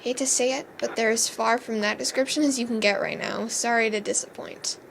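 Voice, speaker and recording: A skeptical young fairy, new at school acted by a woman, in an amateur game roleplay. Her voice is high, with a dry, hesitant way of talking. Faint background noise sits behind it. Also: fairly even intonation, a little fast, vocal fry. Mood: wry. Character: young female fairy newcomer, wry and skeptical.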